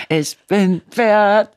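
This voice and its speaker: A woman's voice: mid-range voice, extremely slow, darkish tone, no echo, studio quality. A woman in her fifties chatting playfully, laughing often as she reads horoscopes aloud. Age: 50s. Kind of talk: casual chat.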